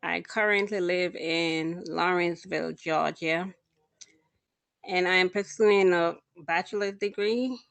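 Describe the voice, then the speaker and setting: Woman with a gravelly low voice. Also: a bit bright, no room sound. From Jamaica. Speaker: student. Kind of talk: class introduction.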